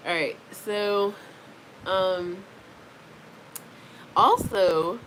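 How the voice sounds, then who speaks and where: A woman's voice: medium pitch, drawn-out words, a bit bright, poor audio. A congested woman vlogging casually from home, rambling with many fillers while fans run in the background. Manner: rambling, chatty, frequent fillers. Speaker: wellness vlogger. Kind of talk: casual talking-to-camera vlog. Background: faint fan noise.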